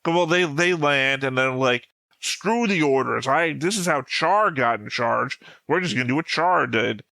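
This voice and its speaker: A man's voice: medium pitch, expressive, unhurried, gravelly, sharp tone, no echo. A man in a casual fan discussion as a show co-host, talking in a pedantic, insistent way.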